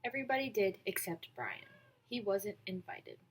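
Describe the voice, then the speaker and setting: A woman reading a children's picture book aloud in an expressive storytelling manner. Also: medium pitch, moderate pace, slight background noise. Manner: expressive storytelling with acted dialogue. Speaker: read-aloud volunteer reader.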